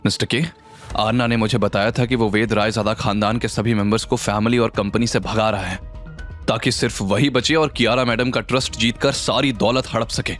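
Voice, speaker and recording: A man's voice: on the low side, fairly even intonation, moderate pace, fairly bright tone, natural voice. A man voicing a guilty, defensive male employee in an audio drama, agitated and deferential to his superiors. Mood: forceful, accusing. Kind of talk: dramatised audio series acting. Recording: music bed under the voice.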